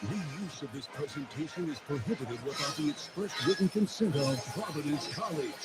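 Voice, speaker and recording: Man, announcer, formal scripted read, fairly high pitch, loud music. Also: lively intonation, moderate pace. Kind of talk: scripted broadcast disclaimer.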